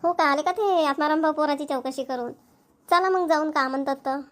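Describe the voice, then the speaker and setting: Woman playing eager village wife, cartoon, high-pitched, rapid, Vidarbha Marathi accent, chatty, insistent. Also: a bit bright. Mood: curious. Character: eager, practical village wife and family elder. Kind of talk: homemade cartoon dialogue.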